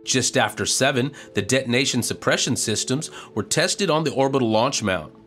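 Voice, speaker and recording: A man reading a scripted news narration in an even, measured reporting tone as a voice-over. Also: mid-range voice, soft background music.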